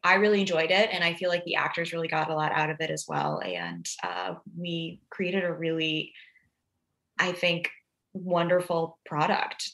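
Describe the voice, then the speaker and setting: Woman, slightly low voice, thoughtful, halting, casual podcast guest. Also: fairly bright tone. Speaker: podcast guest, audio drama director and writer. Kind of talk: casual interview conversation.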